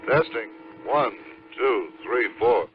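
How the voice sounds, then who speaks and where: An actor playing a dutiful astronaut scout with a husky voice in a 1950s radio drama. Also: male voice, medium pitch, lively intonation, takes it slowly, slight background noise. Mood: alert, tense. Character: dutiful astronaut scout reporting to his commander. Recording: muffled vintage 1950s radio.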